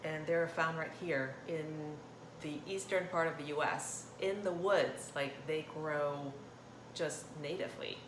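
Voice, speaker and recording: Low-voiced, chatty woman in an echoey kitchen. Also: a little slow. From Thailand. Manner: chatty, rambling, self-interrupting. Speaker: cooking channel host. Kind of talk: casual cooking demonstration. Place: indoor kitchen. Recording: roomy echo, clear kitchen noise, clattering dishes. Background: cutlery and dish clatter.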